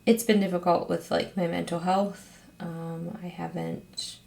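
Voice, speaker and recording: A woman with a hoarse, raspy voice, chatting casually as host of her own get-ready-with-me vlog at home, rambling with frequent ums. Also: lowish voice, level tone, a little slow, darkish tone. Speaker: beauty vlogger host.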